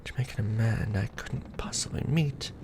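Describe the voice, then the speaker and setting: A man voicing a weary, tender aristocratic vampire lord in an ASMR romance roleplay, murmuring softly and formally, close to the microphone. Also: lowish voice, moderate pace, noisy background. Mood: brooding, bitter. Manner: soft, breathy murmuring, formal archaic phrasing. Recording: background music bed.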